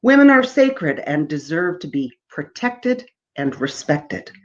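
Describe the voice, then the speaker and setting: A woman's voice: deep voice, very animated, slow pace, lo-fi sound, full character voice. A woman voicing a pushy, preachy man with a solemn, instructing manner in a storybook reading. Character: pushy, preachy man with salt and pepper braid.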